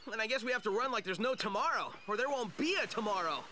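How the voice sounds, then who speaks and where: A man's voice: sits high, expressive, fast talker, bright, forceful tone, a little hiss or hum. A man voicing a cocky, breezy young hedgehog hero in a video game, confident and wisecracking. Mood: determined. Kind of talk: animated video game acting.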